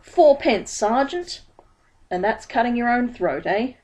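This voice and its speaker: A woman voicing a shifty, eager male pie seller with high, quick sales patter. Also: expressive, a little mellow. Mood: wheedling.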